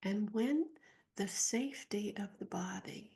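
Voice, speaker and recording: A woman guides a meditation in a soft, husky voice with a mellow tone and a touch of creak. Her delivery is gentle and unhurried, leaving long pauses between short phrases. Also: medium pitch.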